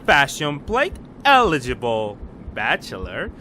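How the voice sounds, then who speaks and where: A man's voice: mid-range voice, huge pitch swings, slow, bright, forceful tone, a little hiss or hum, heavily acted. A man playing an arch, flippant society wit in an old-time radio drama. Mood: arch, mocking. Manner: arch, grandiose, drawling. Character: flippant, theatrical society wit, nervous male jester. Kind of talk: 1930s radio acting.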